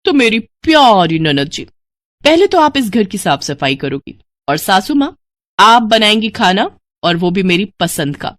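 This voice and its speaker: A woman's voice: mid-range voice, big pitch swings, a little slow, no room sound. A woman voicing an arrogant, defiant young modern bride with a sassy manner in a family cartoon. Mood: bossy, defiant. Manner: haughty, sassy. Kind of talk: Hindi family cartoon dialogue.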